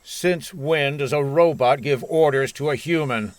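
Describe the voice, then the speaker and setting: A man performs a hawkish colonel in a radio play, in a medium-pitched voice. He is authoritative and suspicious, handing out clipped orders like a born commander. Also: unhurried, close to an everyday voice. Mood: belligerent, triumphant. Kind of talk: old-time radio science fiction acting.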